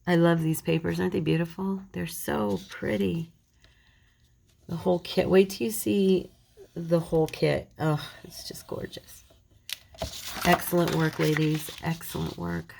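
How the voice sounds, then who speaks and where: A woman's voice: lowish voice, steady tone, unhurried, vocal fry, long pauses, darkish tone, slightly rough. A woman with a slight cold, chatting casually and a little self-deprecatingly as she folds paper in a crafting tutorial. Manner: chatty, self-deprecating, talks while working.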